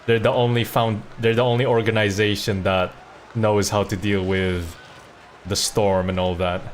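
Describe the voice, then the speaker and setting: A man's voice: fairly low pitch, level tone, normal speed, a little hiss or hum. A man livestreaming a game, giving casual, rambling commentary in an informal way. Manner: rambling, informal, mildly profane. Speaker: streamer. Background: game music.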